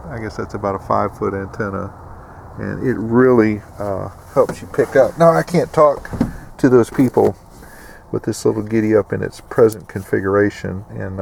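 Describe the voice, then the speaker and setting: A man's voice: on the low side, gravelly, darkish tone. A middle-aged American man giving a casual, rambling, folksy walkthrough of gear as a video host. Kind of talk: casual explanatory product walkthrough. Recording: noticeable background vehicle and ambient noise.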